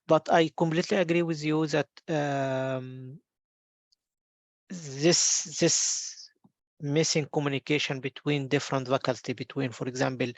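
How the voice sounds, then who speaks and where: This man from Egypt moderates an online workshop discussion. He sounds measured and attentive. His voice is dry and close to the mic. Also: medium pitch, dry recording. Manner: measured, attentive, short backchannels.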